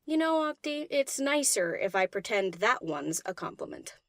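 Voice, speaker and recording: A woman's voice: mid-range voice, big pitch swings, normal speed, fairly bright tone, no room sound, clean studio sound. A woman voicing an easygoing young-spirited DJ pony in a fan audiobook, casual, slangy and teasing. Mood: sleepy teasing. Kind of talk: fan audiobook character acting.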